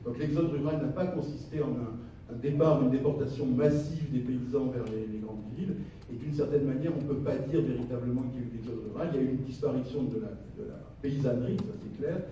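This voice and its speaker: A man with a French accent from France giving a semi-improvised conference lecture, halting and searching for his words. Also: mid-range voice, warm tone. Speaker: conference speaker, geographer. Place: conference hall. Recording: roomy echo, distant mic, faint noise.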